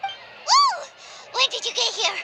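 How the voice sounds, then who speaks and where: A tiny, chatty fairy companion from a video game, squeaky and extremely high. The delivery is bubbly and childlike, swooping all over in pitch, with loud noise behind it. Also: female voice, wildly swooping pitch, slow, exaggerated voice. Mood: excited. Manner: bubbly, exclamatory. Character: small excitable childlike floating fairy girl companion, chatty. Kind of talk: video game character acting.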